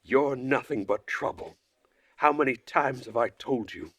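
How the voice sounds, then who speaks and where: A man's voice: medium pitch, unhurried, fairly bright tone, no room sound, voice pushed up. Man voicing a stern, scolding female camp leader. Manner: scolding, bossy. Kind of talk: visual novel character voice.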